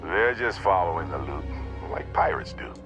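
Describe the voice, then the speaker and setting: A man's voice: low voice, animated, moderate pace, music in the background. An actor playing a genial, talkative male space explorer in a video game, with a jaunty, wry, easygoing manner. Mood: earnest, musing. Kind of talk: video game cutscene acting.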